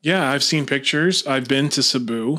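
A man's voice: medium pitch, normal speed, sharp tone. Rambling man hosting a casual podcast. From Florida. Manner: rambling, asks many questions, restarts. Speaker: podcast host. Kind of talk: casual chat.

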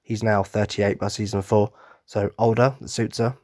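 Husky, tired man chatting. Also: on the low side, level tone, normal speed, a little mellow, no echo, clean studio sound. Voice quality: husky, throat-clearing. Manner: rambling, enthusiastic, many ums and restarts. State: losing his voice, tired. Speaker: podcast host. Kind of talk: casual fan chat.

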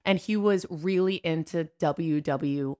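Playful, lower-pitched woman in her thirties. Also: slow, darkish tone. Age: 30s. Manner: playful, teasing. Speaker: podcast co-host. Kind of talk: casual chat and storytelling.

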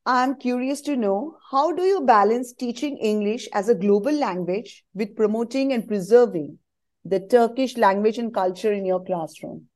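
Casting note: woman interviewer, fairly high voice, reads formal questions calmly. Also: moderate pace. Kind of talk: reading prepared questions.

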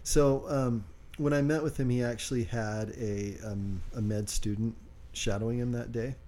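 A man co-hosting a casual podcast, speaking quietly and hesitantly with lots of fillers. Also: mid-range voice, fairly even intonation, normal speed. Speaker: podcast co-host.

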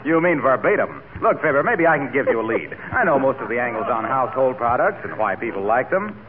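An actor playing a jovial, smooth-talking friend and pitchman with a salesmanlike manner in a 1940s radio comedy. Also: very animated, brisk, a crowd in the background, lightly acted. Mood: persuasive. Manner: chuckling, salesmanlike. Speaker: announcer. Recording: tinny, noisy 1940s radio recording.

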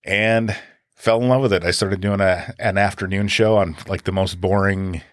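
Middle-aged man, very low deep voice, dry close sound, casual rambling guest. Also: normal speed, dry recording. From Wisconsin. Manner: relaxed storyteller, many fillers and restarts. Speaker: podcast guest. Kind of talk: casual conversational interview.

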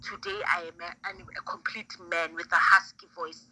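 A woman in her late twenties with a squeaky voice, chatting as a guest about being a traditional healer, animated and laughing, mixing English with Zulu. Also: moderate pace, creaky, slight background noise. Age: late 20s. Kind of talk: casual chat.

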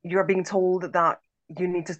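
A woman's voice: lowish voice, level tone, measured pace, no echo, muffled recording. Emphatic woman with a big voice. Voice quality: big strong voice. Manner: emphatic, repeats words for emphasis. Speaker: podcast co-host. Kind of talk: conversational podcast commentary.

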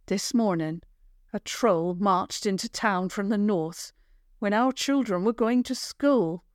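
Woman acting a firm, steadfast village mother, unhurried. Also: mid-range voice, dry recording, studio quality, close to an everyday voice. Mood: grave, urgent.

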